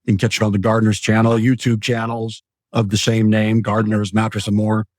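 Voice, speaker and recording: Relaxed male talk show host. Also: on the low side, brisk, dry recording, studio quality. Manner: relaxed, wry, plugs the show. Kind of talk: casual talk show hosting.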